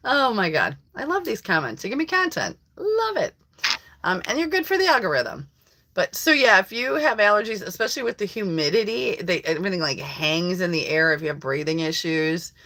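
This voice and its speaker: A hoarse woman hosting a casual live stream from her country home, chatting to viewers in a rambling way and laughing often. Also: on the low side, bright, forceful tone. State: hoarse from allergies. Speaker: livestream host. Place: rural home.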